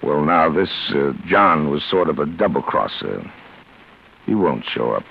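An actor playing a shady, commanding fixer in a 1940s radio crime drama, giving terse hard-boiled instructions. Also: male voice, a bass voice, very animated, measured pace, creaky, heavily acted. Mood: low, urgent. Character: shady, commanding political fixer arranging a payoff. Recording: dull, slightly noisy vintage radio recording.

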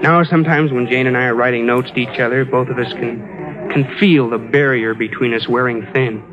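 A muffled old radio recording with music under it, and an actor as a stubborn, lovestruck man. His mid-pitched voice is sincere and insistent, like a believer defending himself. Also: fairly even intonation, moderate pace, natural voice. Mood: sincere, hopeful. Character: stubborn, lovestruck man convinced of parallel worlds. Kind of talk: 1950s radio drama acting.

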